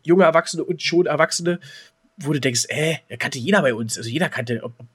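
A middle-aged man co-hosting a casual podcast, talking in a rambling, jokey, excitable way. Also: on the high side, fairly quick, breathy edge. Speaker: podcast co-host.

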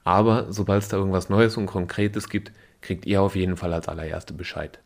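A man hosting a podcast, talking in a relaxed, chatty way with slang and fillers while he has a cold. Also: low-pitched, a little fast, vocal fry, a little hiss or hum. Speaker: podcast host. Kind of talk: casual podcast chat.

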